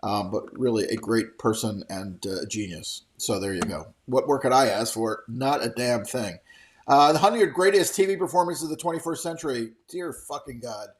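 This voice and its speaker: Middle-aged male host for off-the-cuff trade news commentary, opinionated and rambling, mid-pitched voice, comfortable with casual swearing. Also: normal speed, a little hiss or hum.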